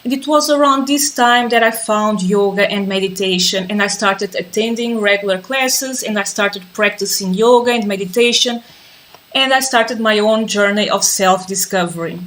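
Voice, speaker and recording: Calm woman teaching a live class. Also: medium pitch, normal speed. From Azores, Portugal. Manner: calm, patient, repeats herself while waiting for viewers. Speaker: yoga and mindfulness teacher. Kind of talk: live-streamed class introduction.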